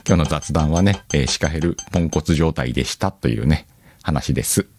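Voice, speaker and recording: A man's voice: extremely low pitch, fairly quick. A man hosting a casual solo chat show, rambling and self-deprecating, often laughing at himself, with music behind him. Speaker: host of an audio show. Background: background music.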